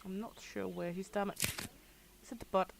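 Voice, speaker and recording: A woman's voice: mid-range voice, steady tone, moderate pace, creaky, background noise. A Danish woman with a Danish accent, chatting casually to her viewers while she plays, laughing often. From Denmark. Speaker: gaming streamer. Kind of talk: casual livestream commentary.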